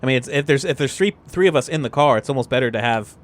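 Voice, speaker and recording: A man's voice: mid-range voice, expressive, quick pace. A man playing a tabletop game, talking casually as he reasons things through and explains his thinking.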